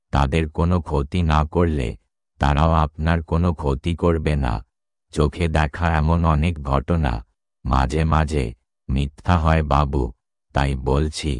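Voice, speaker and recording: A man's voice: very deep voice, a little mellow, no echo, studio quality. A man voicing an earnest, superstitious village boatman in a Bengali ghost-story cartoon, with a deferential storytelling manner and a Bengali accent. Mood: solemn.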